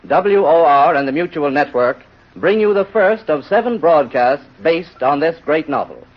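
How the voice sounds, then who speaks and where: A man announcing a radio broadcast in a formal, solemn 1930s style with stately, deliberate diction. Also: fairly high pitch. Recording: dull lo-fi old radio recording.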